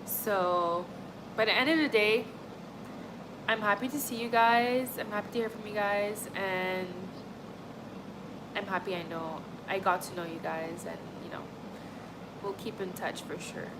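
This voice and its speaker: A woman's voice: mid-range voice, steady tone, measured pace, long pauses, background noise. A young woman from Montreal, chatting casually with her livestream audience in a rambling, friendly way full of "like" and "honestly". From Montreal, Canada. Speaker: livestream host. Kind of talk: casual chat with live chat.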